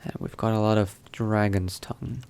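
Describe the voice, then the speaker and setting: A man doing in-character game commentary, thinking aloud in a musing, unhurried murmur to himself. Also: lowish voice, fairly even intonation, creaky, background noise. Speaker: gamer narrating a roleplay playthrough.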